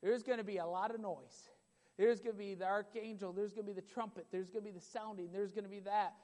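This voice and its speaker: A man preaching a sermon with a loud voice, emphatic and repetitive, building in intensity. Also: high-pitched, fairly even intonation, fast talker. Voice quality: loud voice at times. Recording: long hall reverb.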